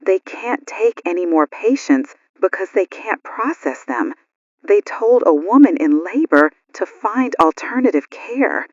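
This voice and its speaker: A woman's voice: mid-range voice, very animated, measured pace, a little mellow, no echo, dull audio. A woman playing an anxious radio news co-host during a blackout, in an audio drama. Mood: distressed.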